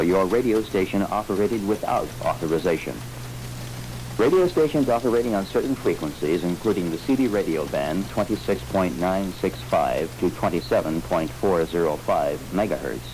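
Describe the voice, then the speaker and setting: Deep voiced guy doing a serious formal alert announcement, measured scripted reading, bit of hum in the recording. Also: darkish tone, low-quality recording. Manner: formal, solemn, measured bulletin delivery. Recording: voice recording with clear humming noise.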